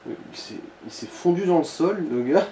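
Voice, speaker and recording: A man guides a player through a video game in casual, crude banter. His voice sits a little high but stays mellow and soft-edged. The room is echoey, with faint noise behind him. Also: expressive, moderate pace. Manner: crude joking, swearing. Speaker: let's play commentator guiding the player. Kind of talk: casual gaming commentary.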